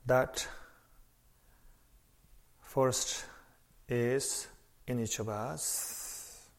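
A man leading a guided meditation as a teacher, speaking in an unhurried, measured way with long pauses. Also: mid-range voice, fairly even intonation.

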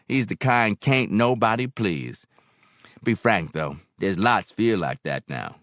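A man voicing a big barkeeper in an audiobook, folksy and unhurried, genial yet gloomy and firm. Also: on the low side, expressive, a bit bright, dry recording, clean studio sound, voice pushed up. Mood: confiding. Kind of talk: audiobook character acting.

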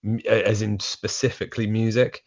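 Deep-voiced guy rambling through a story, muffled audio. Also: little pitch movement, brisk. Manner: chatty, meandering, with fillers. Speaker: guest drummer. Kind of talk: casual storytelling. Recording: dull lo-fi audio.

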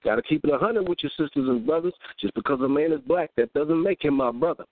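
A dry, narrow phone-like recording carries a man's voice, pitched a little high. He performs rhymed spoken word like a sermon. His phrasing is rhythmic, earnest and emphatic. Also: quick pace, dry recording. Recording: dry, thin phone-like sound.

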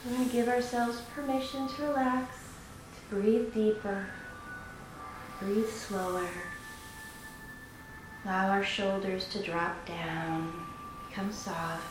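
A woman leading a guided meditation in a soothing, unhurried manner, pausing between phrases. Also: medium pitch, fairly even intonation. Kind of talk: guided meditation, slow instruction. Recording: very echoey room with music. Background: meditation music.

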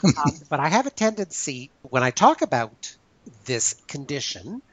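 A man hosting a casual discussion, explaining his ideas in a thoughtful, measured way. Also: a little high, very animated, a bit bright, no room sound, dull audio. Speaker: podcast host.

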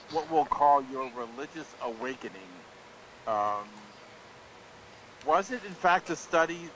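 A man co-hosting a talk show, speaking casually in a halting way with frequent restarts and chuckles. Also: medium pitch, pushed and bright, rough recording. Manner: halting, restarts often, chuckles while talking. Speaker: co-host and columnist. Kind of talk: casual talk-radio chat.

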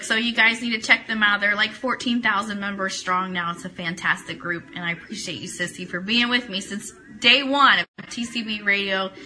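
A very bright, forceful woman's voice, pitched fairly high, hosts a casual live talk show. She is chatty and enthusiastic as she addresses viewers and reads aloud. Music plays loudly behind her. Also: low-quality recording. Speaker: host of an Elvis talk show. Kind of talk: casual live-stream chat with some reading aloud. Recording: music playing in the background. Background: Christmas CD music.

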